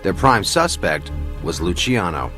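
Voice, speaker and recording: Doc narrator guy, pitch moves a lot, faint music behind. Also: sing-song, bright, forceful tone. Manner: measured, dramatic storytelling. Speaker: documentary narrator. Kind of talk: scripted documentary narration.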